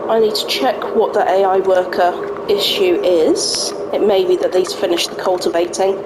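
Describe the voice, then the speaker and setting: Croaky-voiced woman chatting over gameplay. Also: on the low side, steady tone, normal speed, very noisy. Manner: chatty, talks to herself while playing. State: slightly croaky voice. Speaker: gaming channel host. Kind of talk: casual let's-play commentary. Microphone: home desk microphone.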